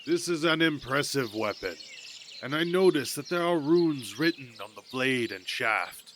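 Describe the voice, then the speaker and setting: Genial old god-king played by a man, very unhurried and regal, mythic audio drama with faint outdoor ambience. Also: mid-range voice, expressive, a bit bright, slight background noise. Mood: solemn approval. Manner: slow, regal. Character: stately old male king of gods, genial. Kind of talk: amateur fantasy audio drama acting.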